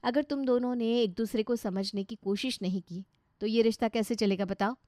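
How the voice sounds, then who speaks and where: A woman's voice: on the high side, normal speed, mellow, dry recording, voice pushed up, natural voice. A woman acts out a kind elder sister-in-law in a dramatised moral story. She sounds sensible and coaxing, the family's soothing adviser. The recording is dry and close. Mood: consoling.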